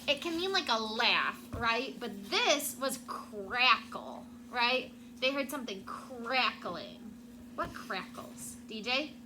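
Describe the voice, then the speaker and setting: A woman's voice: on the high side, expressive, slow, sharp tone. A woman teacher reading a children's book aloud and prompting pupils with questions. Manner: prompting, checks understanding with questions. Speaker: elementary school teacher. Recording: clearly noisy room sound.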